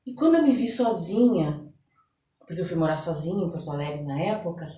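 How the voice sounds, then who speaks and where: A woman's voice: on the low side, expressive, brisk, dark tone. Brazilian woman guest, echoey and distant. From São Paulo, Brazil. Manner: often checks in with tag questions. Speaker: podcast guest, mentor. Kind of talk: personal storytelling in a conversational interview. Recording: echoey room, distant mic.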